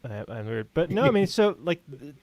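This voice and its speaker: A man hosting a casual podcast, asking questions in a halting, joking, informal way. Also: on the high side, very animated, gravelly, a little mellow. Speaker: podcast host, writer. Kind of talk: casual chat.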